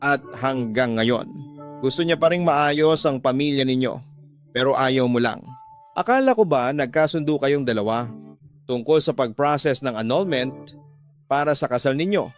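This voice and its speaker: A man reads the part of a troubled young woman in a radio drama. She questions earnestly and with some reproach, in a mid male pitch, over a music bed. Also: moderate pace, natural voice. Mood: confused, reproachful. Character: young woman confiding, torn by forbidden love, questioning.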